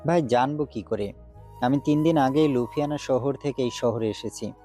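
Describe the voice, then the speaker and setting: A man's voice: medium pitch, moderate pace, warm tone, natural voice. A man voicing a resolute, duty-bound demon hunter in an audio drama, speaking earnestly and solemnly. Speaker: voice actor. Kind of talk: Bengali audio drama acting. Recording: faint background music.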